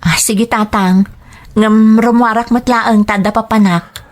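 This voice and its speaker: A woman's voice: on the high side, steady tone, a little slow, voice pushed up, close to an everyday voice. A woman with an Ilocano accent playing a dutiful working daughter in an audio drama. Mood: questioning, resigned. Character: dutiful young working daughter, the family breadwinner.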